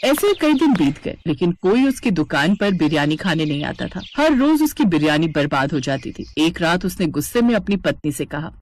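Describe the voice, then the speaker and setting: A woman's voice: low voice, sing-song, moderate pace, few pauses. A woman narrating a scripted story in a storytelling style.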